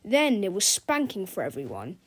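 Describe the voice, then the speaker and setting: A woman's voice: mid-range voice, wildly swooping pitch, moderate pace. A woman narrating a children's storybook as a read-aloud.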